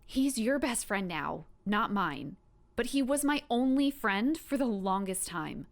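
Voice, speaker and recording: A woman acting an animated, guarded superpowered young woman. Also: medium pitch, a little slow. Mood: regretful. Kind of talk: audio drama acting.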